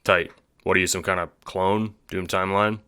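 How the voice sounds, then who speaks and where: A man playing a laid-back, slangy teenage boy in an audio drama, talking in a terse, deadpan, casual way. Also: low voice, normal speed, clear, resonant tone, no room sound, clean studio sound. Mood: cool, matter-of-fact.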